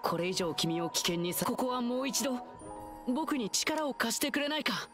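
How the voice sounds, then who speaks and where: A performer voicing a cheerful, confident young male hero in a game dub, with a lively, reassuring way of talking. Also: mid-range voice, moderate pace, close to an everyday voice. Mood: earnest. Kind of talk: Japanese game dub acting. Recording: game audio with loud music.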